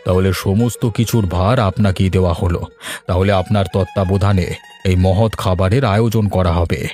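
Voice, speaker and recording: A man's voice: low voice, fairly even intonation, fairly quick, a little mellow, natural voice. Courteous old village landlord played by a man. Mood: gracious. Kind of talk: animated cartoon voice acting. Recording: faint music behind the voice.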